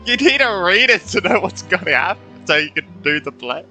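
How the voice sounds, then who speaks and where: A woman streaming a game, reacting casually and excitably, with some swearing. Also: on the low side, a little fast, soft background music. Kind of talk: casual live gameplay reaction.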